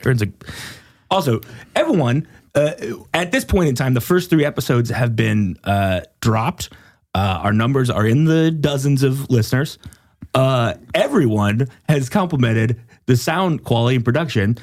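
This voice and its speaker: A smooth-voiced man hosting a podcast in a casual, joking, profane chat style. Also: medium pitch, lively intonation, normal speed, a little mellow. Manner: profane, bantering. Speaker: podcast host.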